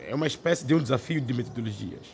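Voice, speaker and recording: An actor playing a weary, authoritarian male schoolteacher in a radio drama, speaking in an expressive, schoolmasterly way. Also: medium pitch, rapid-fire, vocal fry, slight background noise. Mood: earnest. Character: weary, authoritarian male schoolteacher, frustrated but open to change.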